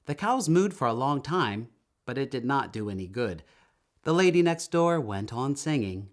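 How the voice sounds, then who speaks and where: Animated male children's storybook reader. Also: medium pitch. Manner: expressive, emphatic storytelling with drawn-out words. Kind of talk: read-aloud children's story narration.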